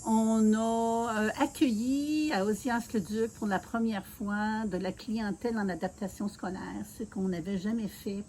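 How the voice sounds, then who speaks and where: A woman's voice: mid-range voice, fairly even intonation, mellow, a little hiss or hum. Earnest Québécoise woman in her sixties. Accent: Quebec French. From Quebec City, Canada. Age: 60s. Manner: measured and earnest, with many filler pauses. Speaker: mayoral candidate being interviewed. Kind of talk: casual interview, telling her life story.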